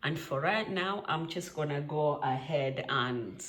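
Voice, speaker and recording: A woman's voice: deep voice, animated, unhurried, fairly bright tone. A woman in her thirties from Kenya with a Kenyan accent, talking casually to camera at home and laughing often. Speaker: vlogger. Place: quiet home.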